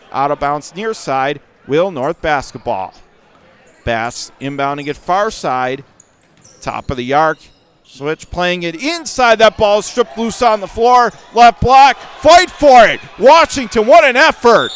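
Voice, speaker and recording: A man with a Western New York accent calling a high school basketball game live on radio, rattling off plays and rising to shouts on big moments. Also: slight background noise. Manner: rapid calls that surge to excited shouts on big plays. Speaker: radio play-by-play announcer.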